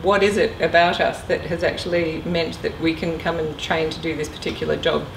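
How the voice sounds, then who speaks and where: A woman's voice: deep voice, little pitch movement, brisk. A middle-aged woman speaking informally as a guest speaker, giving a career talk and answering questions. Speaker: guest speaker, former air traffic controller. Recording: echoey room, distant mic, clear noise.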